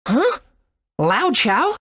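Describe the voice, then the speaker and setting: A woman's voice: high voice, huge pitch swings, extremely slow, a bit bright, husky, exaggerated voice. A woman voicing an earnest, eager young boy hero in a video game. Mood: urgent. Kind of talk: video game character acting and narration.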